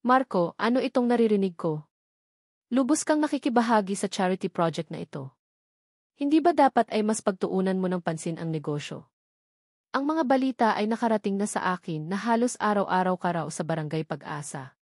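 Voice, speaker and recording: A woman's voice: mid-range voice, lively intonation, a little fast, darkish tone, dry recording. Stern, controlling older patriarch for an audio love story, read by a woman, menacing and suspicious, very dry recording. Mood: suspicious, threatening. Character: stern, threatening wealthy father, older man.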